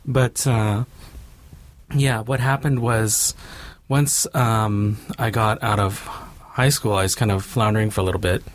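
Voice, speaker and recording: A man's voice: on the low side, fairly even intonation, measured pace. A middle-aged man with a Canadian accent, talking casually as an interview guest in a rambling, hesitant way peppered with "you know". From Vancouver, Canada. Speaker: podcast guest, filmmaker.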